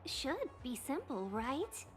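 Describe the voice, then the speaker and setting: An actress playing young women characters in a fantasy adventure game, with theatrical, expressive delivery of scripted lines. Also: female voice, high voice, slow, heavily acted. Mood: playful, composed. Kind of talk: scripted video game dialogue. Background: game music.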